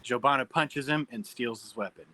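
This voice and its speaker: A man's voice: medium pitch, normal speed, bright, forceful tone. A man narrating stage directions from a script at a table read.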